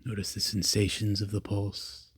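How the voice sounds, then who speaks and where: A man leading a guided meditation, giving calm, simple, repeated instructions with long pauses between them. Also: lowish voice, husky, dry recording. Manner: calm, repetitive, unhurried cues with long pauses.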